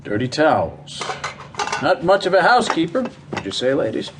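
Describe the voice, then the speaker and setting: A self-assured American county attorney in a stage-style radio drama. He is officious and patronizing, with lively swings of pitch over faint noise. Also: moderate pace. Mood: condescending. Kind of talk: audio theatre acting.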